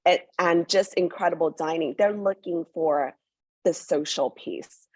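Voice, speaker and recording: Husky, low-voiced woman talking eagerly. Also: measured pace, darkish tone, no room sound. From born in Hong Kong, raised in New York. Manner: enthusiastic, many fillers. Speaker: hotel representative. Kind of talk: promotional interview.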